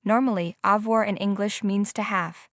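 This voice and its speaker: A woman's voice: medium pitch, steady tone, no room sound, studio quality. Woman teacher prompting learners. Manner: slow, prompting questions to the viewer. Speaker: language teacher. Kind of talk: scripted English instruction.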